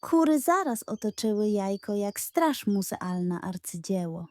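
A woman's voice: mid-range voice, big pitch swings, measured pace, darkish tone, no echo. A woman narrating a scripted children's bedtime story as the storyteller.

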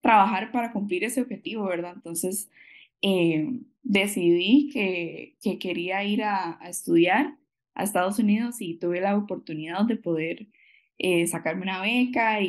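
Young Costa Rican woman, chatty and hesitant. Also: on the low side. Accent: Costa Rican Spanish. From Costa Rica. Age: 20s. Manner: hesitant, many fillers, laughs often. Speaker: guest. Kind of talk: conversational interview.

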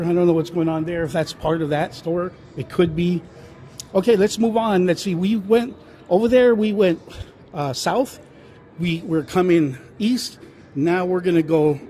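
A man's voice: high voice, warm tone, a little hiss or hum. A man narrating a casual walking tour of an indoor shopping mall, rambling with many fillers and restarts, recorded on a handheld phone. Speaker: vlogger touring a mall. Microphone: handheld phone camera, speaker off screen.

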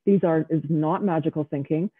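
Woman, middle-aged, panelist, measured and emphatic, dry close sound, tinny call audio. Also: low voice, steady tone, slightly rough, dry recording. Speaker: panelist, author. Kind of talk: panel discussion answer. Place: home room with bookshelves. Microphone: video call.

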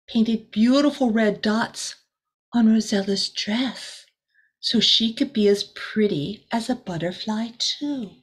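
A middle-aged woman reading a children's storybook aloud as a teacher, expressive and deliberate, with small asides to the listeners. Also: on the high side, slightly rough, low-quality recording. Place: small room indoors.

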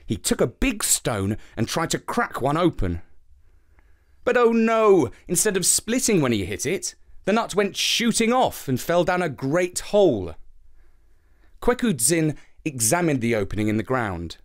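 Slow, very animated male storyteller. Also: on the high side. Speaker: children's story narrator. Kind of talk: expressive scripted storybook narration.

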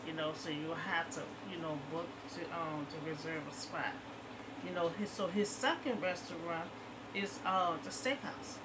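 A woman's voice: lowish voice, noisy background. A middle-aged woman talks casually to a webcam in her bedroom about local news, rambling and filling her sentences with "you know.". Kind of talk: casual commentary to camera. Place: bedroom at home, indoors. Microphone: webcam in front of her.

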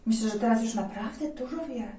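A woman telling a children's tale conversationally, in an expressive and reflective way. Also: on the high side, brisk, reverberant, far from the mic. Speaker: storyteller in a children's tale series.

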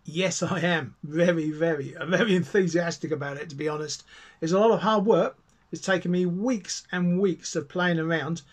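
A man's voice: a little high, normal speed. A middle-aged man with a British accent giving a casual, enthusiastic, rambling explanation as a hobbyist video host. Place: small cluttered home radio room, indoors.

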